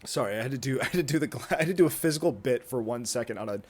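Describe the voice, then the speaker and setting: Guy in his twenties rambling on his podcast, lots of ums and restarts, says dude and bro, mid pitch voice. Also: animated, rapid-fire. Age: 20s. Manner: rambling, self-interrupting, many fillers and restarts. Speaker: podcast host. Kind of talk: rambling solo podcast talk. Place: indoors, with a thunderstorm rolling in outside.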